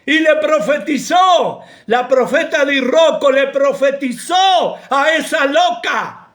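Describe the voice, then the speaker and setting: Argentine pastor, man, very high voice, slow and fiery, rebukes and shouts through the sermon. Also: animated. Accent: Argentine Spanish. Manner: impassioned, rebuking, bursts into shouting. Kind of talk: preaching a sermon.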